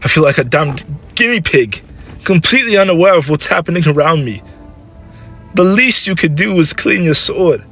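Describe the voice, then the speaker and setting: A man's voice: on the high side, lively intonation, moderate pace, bright, forceful tone, voice pushed up, exaggerated voice. Guy doing a scornful upset old mother from an audio story, emotional and scolding, music underneath. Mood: distressed. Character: anguished, scolding, sickly elderly mother of a warrior.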